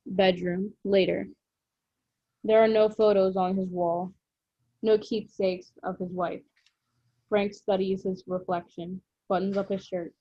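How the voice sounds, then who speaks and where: A woman's voice: mid-range voice, level tone, measured pace, no room sound. A woman reading stage directions as scripted narration for a drama table read, over slightly dull call audio.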